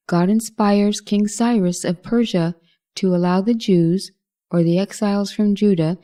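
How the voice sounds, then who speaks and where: A woman's voice: mid-range voice, dark tone. A woman reading a scripted narration of Bible history as a narrator.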